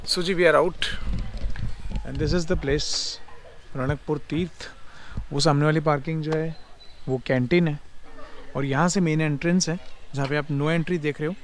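A man's voice: on the high side, steady tone, unhurried, long pauses, a little hiss or hum. A man chatting casually as a travel vlogger, explaining things to viewers in mixed Hindi and English. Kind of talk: casual travel vlog chat.

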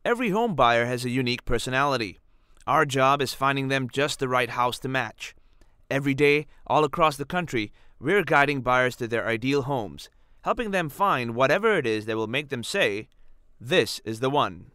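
Bright, forceful male promo voice. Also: medium pitch, lively intonation, normal speed, clear, resonant tone, dry recording, studio quality. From Singapore. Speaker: voice-over artist. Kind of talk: polished commercial and promo voice-over read.

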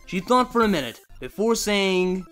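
A man's voice: high voice, lively intonation, moderate pace, fairly bright tone, faint music behind. A man narrating fan fiction as a story reader and channel host.

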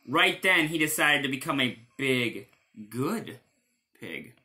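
Bright-voiced man reading a storybook. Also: medium pitch, big pitch swings, slow. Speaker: storybook reader. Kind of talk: animated children's read-aloud.

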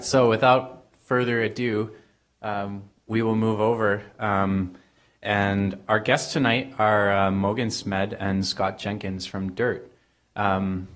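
A young man hosting a live event, moderating in a brisk, upbeat way. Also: fairly low pitch. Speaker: event host. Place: small event stage with audience. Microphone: handheld mic.

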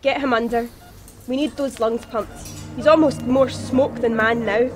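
Woman acting a brisk serious lady doctor, slightly high voice, music behind. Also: steady tone, in a large hall, natural voice. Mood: grave, decisive. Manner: decisive, clinical. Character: brisk, grave female surgeon taking charge. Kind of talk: audio drama acting. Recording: music under the voice.

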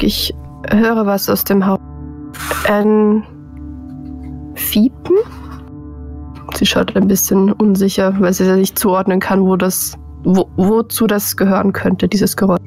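A woman's voice: mid-range voice, little pitch movement, a little slow, long pauses, darkish tone. A woman casually speaking and narrating her character as a tabletop role-playing player. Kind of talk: casual role-play chat. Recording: music playing behind the voice. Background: background music.

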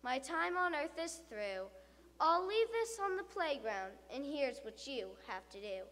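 A woman's voice: high voice, unhurried, heavily acted. A woman playing a dramatic, frightened school pupil telling a tall tale in rhyming verse. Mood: panicked, doomed. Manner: dramatic, expressive storytelling. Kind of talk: rhyming comic monologue, theatrically recited. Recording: reverberant hall.

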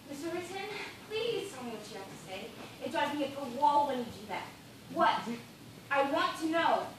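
A woman's voice: high-pitched, big pitch swings, normal speed. A young woman on stage playing an imperious, exasperated, self-pitying boss, commanding and clipped. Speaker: stage actor. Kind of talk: live stage play acting. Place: indoor theatre stage. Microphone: distant audience camera. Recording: echoey, noisy theatre recording from far off.

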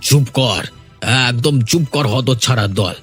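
A man voicing a bossy, quick-tempered rich warehouse owner in a cartoon story, berating his workers in exclamations. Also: medium pitch, soft background music, natural voice. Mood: angry. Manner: berating, hectoring, full of exclamations.

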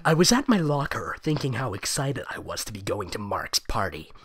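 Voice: medium pitch, sing-song, moderate pace, fairly bright tone, breathy edge. A giggly fan fiction reader hosting a comedic reading, mocking the story and often breaking into laughter. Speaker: fanfic reader and voice actor, host.

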